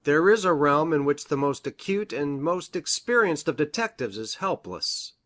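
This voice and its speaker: A woman's voice: deep voice, animated, moderate pace, a bit bright. A woman voicing a grave, hesitant male country doctor in a storybook reading, formal and precise in manner. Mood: troubled, grave. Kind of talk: audiobook character reading.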